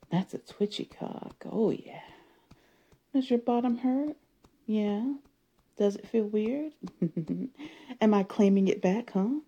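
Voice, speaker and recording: Woman voicing a controlling wife: low, mellow and sultry, whispery. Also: breathy edge, highs cut off, heavily acted. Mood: coaxing. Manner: sultry, slipping between whisper and loud. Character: possessive, domineering adult wife. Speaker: audio roleplay performer. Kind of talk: solo audio roleplay.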